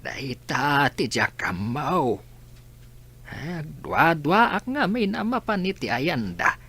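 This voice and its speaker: A man acting a concerned, exasperated older village uncle in an Ilocano radio drama, with a husky voice and a Filipino accent. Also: on the high side, sing-song, takes it slowly, bright, forceful tone, exaggerated voice. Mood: exasperated, worried.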